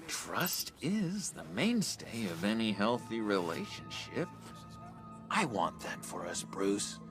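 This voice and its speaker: An actor voicing a needy, unstable, eerily polite man in a video game, theatrical and halting, often slipping toward whispers. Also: fairly low pitch. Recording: music behind voice.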